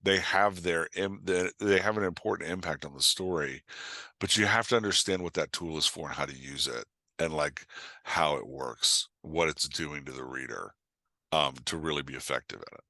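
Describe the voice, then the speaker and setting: Male author-coach, middle-aged. Bright, forceful, lowish voice, dry close sound. Emphatic conversational explainer. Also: moderate pace, creaky, no echo. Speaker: author and writing coach, podcast guest. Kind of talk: animated conversational explanation and storytelling.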